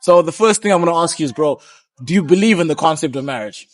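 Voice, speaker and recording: A man's voice: on the high side, animated, fast, no room sound. A young man hosting a casual street interview outdoors on a city street, laid-back and calling people "bro". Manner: laid-back, says "bro" often, repeats "I get you". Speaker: street interviewer and vlog host.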